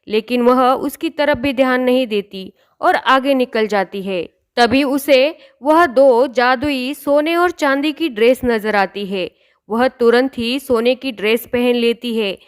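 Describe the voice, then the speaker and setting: A woman's voice: on the high side, clear, resonant tone. A woman reading a scripted fairy tale as the narrator of a children's story.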